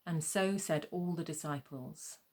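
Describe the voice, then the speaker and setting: A woman's voice: sits low, measured pace. A woman narrating a gospel passage as a scripted scripture reading.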